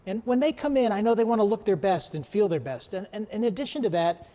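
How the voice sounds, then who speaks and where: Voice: mid-range voice, lively intonation, fast, dark tone. Chatty interview host in a reverberant room. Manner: chatty, repeats words, reads contact details. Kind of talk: promotional interview.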